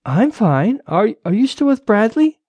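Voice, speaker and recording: An anxious wife in an audiobook thriller, performed by a male narrator, pitched well up and swinging widely. She pleads and stammers, worried for her husband. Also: very animated, a little slow, mellow, breathy edge, no echo, exaggerated voice.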